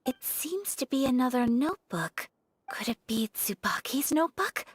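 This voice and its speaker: A gentle, reserved noblewoman for an anime game. Her voice is highish and breathy, with expressive, polite phrasing and a dry studio finish. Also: normal speed, dry recording, exaggerated voice. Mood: hushed, hesitant. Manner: polite, poised. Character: refined, gentle, reserved young noblewoman swordfighter. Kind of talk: anime video game voice acting.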